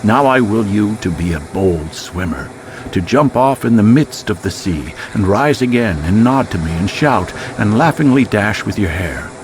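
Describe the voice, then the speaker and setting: A man reciting poetry dramatically as a voice artist, with measured, declamatory delivery and deliberate pauses between phrases. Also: on the low side, lively intonation, background noise. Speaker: voice artist reading poetry aloud. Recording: music and nature soundscape behind voice. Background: music, chirping insect sounds.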